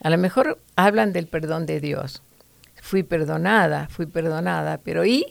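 Gravelly-voiced woman teaching Bible lessons. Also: sits low, unhurried, no room sound. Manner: earnest, preachy, with fillers and restarts.

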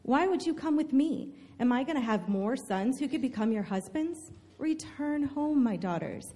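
In a long hall reverb, a woman performs a bereaved older widow from a staged Bible reading. Her voice is fairly high and soft-toned, tender yet bitter, pleading earnestly and deliberately with her daughters-in-law. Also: expressive. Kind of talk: dramatic scripture reading. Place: large church hall, live stage reading.

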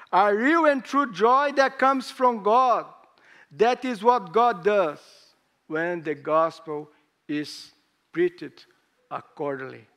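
A hoarse man preaching a sermon in English, speaking in halting phrases with rising emphasis. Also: sits high, a bit bright. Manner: slow, halting delivery with pauses and rising emphasis; non-native English with grammar slips.

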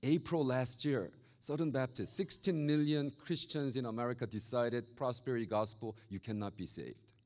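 A man from Korea with a Korean accent, preaching a sermon as a pastor and telling stories with lively acted-out voices. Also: mid-range voice, moderate pace, hardly any pauses. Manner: animated, acts out quoted voices. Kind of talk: storytelling sermon.

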